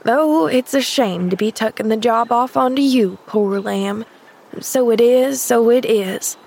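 A woman's voice: on the high side, unhurried, gravelly, darkish tone, slight background noise. Woman voicing a blunt, sour servant woman. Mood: sour, grumbling. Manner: blunt, grumbling, folksy. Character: terse, sour, plain-spoken woman household servant. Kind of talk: storybook character voice.

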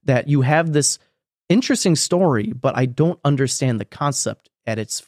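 A man with a middle-pitched voice talks as a podcast co-host, recorded close and dry. He reviews in a loose, opinionated way, leaning on repeated phrases and tossing in casual swearing. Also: animated, normal speed, darkish tone, dry recording.

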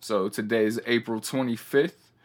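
A man's voice: mid-range voice, steady tone, normal speed. A man hosting a podcast solo, speaking casually in a laid-back way with hip-hop slang and sometimes reading passages out. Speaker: podcast host.

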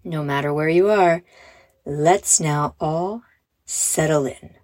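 Female meditation guide for kids: lowish, unhurried, gentle and encouraging, recorded close and dry. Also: no room sound. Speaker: host and meditation guide for children. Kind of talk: guided meditation, scripted narration.

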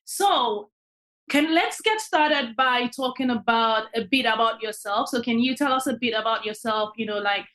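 A woman's voice: on the high side, moderate pace, fairly bright tone. A woman hosting an interview podcast, upbeat and enthusiastic as she asks questions. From immigrant to Canada. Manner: upbeat, enthusiastic, laughs easily. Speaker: podcast host.

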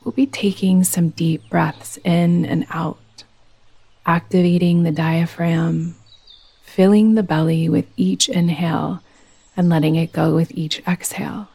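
Slow, low-voiced woman guiding meditation. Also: fairly even intonation, creaky, husky. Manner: soothing, gentle, unhurried instruction. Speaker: yoga nidra guide. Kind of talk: guided meditation.

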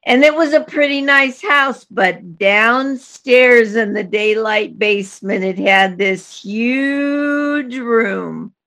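An older woman from the United States, a guest telling an anecdote in an unhurried way with light laughs. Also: on the high side, fairly bright tone. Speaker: guest, co-founder of an institute. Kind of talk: storytelling in conversation.

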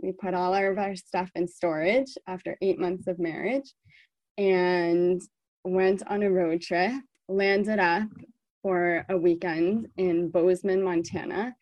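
A woman's voice: fairly low pitch, darkish tone, dry recording, dull audio. A woman in her thirties with an American accent, talking solo to her podcast listeners with an emphatic delivery that repeats words for stress. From born in South Africa, raised in the United States. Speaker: podcast host.

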